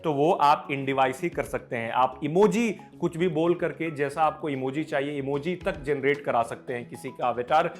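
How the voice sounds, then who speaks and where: An Indian man presents tech news in a fairly high-set voice. He explains informally and off the cuff, dropping English words into his speech. Music plays behind him. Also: moderate pace, hardly any pauses. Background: background music.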